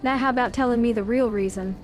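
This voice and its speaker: A woman plays a caring young warrior heroine in a fantasy game. Her voice is a bit high and measured, with a calm, gently probing manner. Music runs behind the dialogue. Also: lightly acted. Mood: curious. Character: calm, caring female Grey Warden heroine. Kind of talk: video game dialogue acting.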